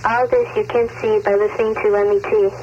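A woman's voice: medium pitch, level tone, brisk, a little mellow, slight background noise. Female caller on muffled phone line. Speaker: radio contest caller. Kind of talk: reciting rhymes and riddles. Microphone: telephone.

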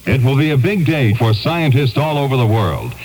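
A man's voice: medium pitch, animated, moderate pace, darkish tone, a little hiss or hum. A man giving a scripted news report as a radio correspondent, on a 1970s aircheck.

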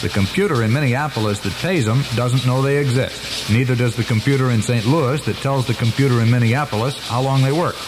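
A man's voice: medium pitch, brisk, hardly any pauses. An American man reading a scripted radio news report. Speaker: radio newsreader. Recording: noisy old radio broadcast tape.

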